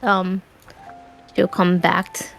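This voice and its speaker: A woman's voice: on the low side, little pitch movement, takes it slowly, vocal fry, low-quality recording. A woman co-hosting a game stream, giving short, casual reactions. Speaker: gaming stream co-host. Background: game music.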